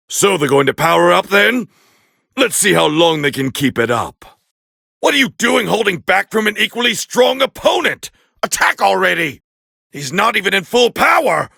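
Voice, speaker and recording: A man playing a proud, hot-tempered alien warrior prince with a raspy voice, calling fights with scorn in an anime video game dub. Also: fairly high pitch, dry recording, clean studio sound, heavily acted. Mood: shouting, incensed. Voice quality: gravelly, raspy. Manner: haughty, scornful, biting, forceful. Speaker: fight commentator character.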